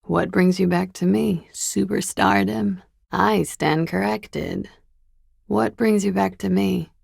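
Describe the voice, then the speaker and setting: Husky, creaky, world-weary young expatriate. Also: female voice, mid-range voice, steady tone, takes it slowly, darkish tone, dry recording, heavily acted. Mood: wry, teasing. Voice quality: husky, gravelly. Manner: dry, languid, ironic. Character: cool, world-weary young expatriate woman, wryly teasing. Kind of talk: video game character dialogue.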